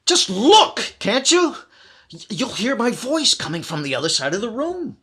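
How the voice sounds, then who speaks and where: A man's voice: extremely high pitch, big pitch swings, moderate pace, bright, forceful tone, breathy edge, full character voice. A man acting in an old-time radio thriller as a desperate, scheming murderer who is urgent and commanding, by turns wheedling and menacing. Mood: urgent bargaining. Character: desperate, scheming male murderer trying to strike a bargain.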